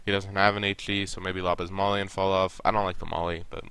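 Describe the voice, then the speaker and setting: A man's voice: sits low, little pitch movement, quick pace, bright, forceful tone, clear, resonant tone, dry recording. A young man with a stuffy nose, casually reviewing a team's game and coaching the players directly. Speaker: esports coach reviewing a match.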